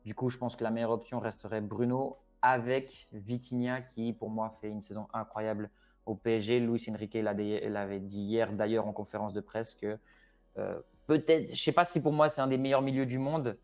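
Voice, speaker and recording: A man's voice: fairly low pitch, normal speed. Hesitant man talking football, faint music. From Portugal (Porto roots). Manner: hesitant, many fillers and restarts, animated. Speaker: expert guest on Portugal. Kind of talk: casual football discussion.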